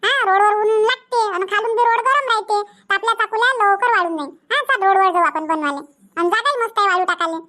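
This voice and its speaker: Cartoon village kid: extremely high, bright voice, pushy and cajoling, Varhadi Marathi accent. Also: quick pace. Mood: persuading. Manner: insistent, cajoling. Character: pushy, wheedling village boy, the friend. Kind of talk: cartoon comedy dialogue.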